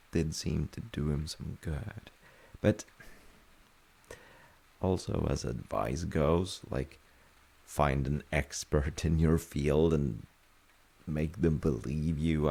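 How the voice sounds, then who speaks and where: A man acts out a thoughtful, comforting father in a bedtime audio roleplay. His voice is extremely creaky and husky, with a dark, mellow tone. He speaks softly and reflectively, hesitating mid-sentence as he gently reassures. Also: slow, long pauses, full character voice. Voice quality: very deep, gravelly, husky. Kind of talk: soft-spoken sleep-aid audio roleplay.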